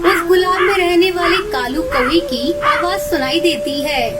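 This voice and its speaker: Very high-pitched woman storyteller. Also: unhurried. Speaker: narrator. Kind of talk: scripted children's story narration. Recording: music behind the voice.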